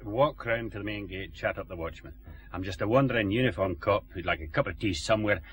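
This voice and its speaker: An actor playing an obedient, laconic uniformed constable with a deadpan manner in a radio drama. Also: male voice, on the low side, fast, close to an everyday voice. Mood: casual, wry.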